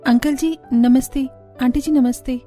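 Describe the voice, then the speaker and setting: A gentle young bride from a cartoon, voiced by a woman. She is soft and respectful, with a fairly high, warm tone. Music sits behind her. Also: fairly even intonation, measured pace.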